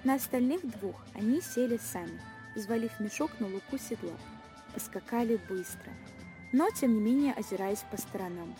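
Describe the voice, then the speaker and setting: A woman's voice: fairly high pitch, expressive, normal speed, over music. A young woman reading scripted narration for an audio play of a short story. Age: young adult.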